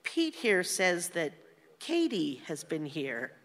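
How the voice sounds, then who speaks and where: A woman's voice: fairly low pitch, huge pitch swings, slow, full character voice. An actress playing a tender, frank mother who looks after her grown son in a radio play. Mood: brisk, wry. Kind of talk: conversational stage-style radio drama acting. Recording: reverberant hall-like room.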